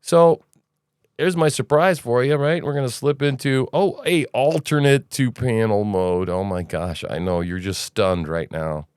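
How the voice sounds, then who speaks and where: A man's voice: mid-range voice, unhurried, darkish tone, clear, resonant tone, no room sound, clean studio sound. A man hosting a casual news commentary podcast, rambling and opinionated, with sarcastic asides. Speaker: podcast host.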